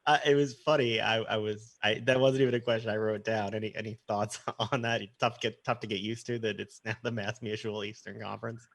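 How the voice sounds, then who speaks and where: A man's voice: on the low side, level tone, a little fast, gravelly, hardly any pauses, a bit bright, no room sound. A man hosting a casual sports interview, asking questions in a conversational way. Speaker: interview host.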